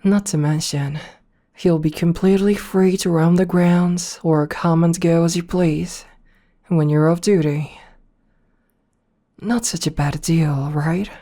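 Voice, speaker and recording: A woman playing a rich, possessive, seductive female CEO in an ASMR roleplay, softly formal and coaxing, with a politely commanding manner. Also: fairly low pitch, fairly even intonation. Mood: confident, persuasive. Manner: slow, softly formal, coaxing with pet names.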